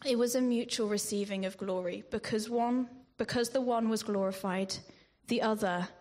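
A woman preaching a sermon in a measured way, with ums and restarts, sometimes dropping to a whisper on scripture. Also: fairly high pitch, fairly even intonation, a little mellow. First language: not Greek. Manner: slow, measured delivery with frequent ums and restarts.

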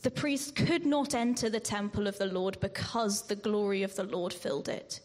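A woman preaching a sermon in a measured way, with ums and restarts, sometimes dropping to a whisper on scripture. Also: medium pitch, steady tone, a little mellow. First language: not Greek. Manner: slow, measured delivery with frequent ums and restarts.

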